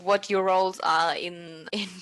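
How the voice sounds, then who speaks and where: A woman's voice: lowish voice, steady tone, a little slow, fairly bright tone, dry recording. A woman hosting a casual podcast chat, asking the questions with a light, giggly manner.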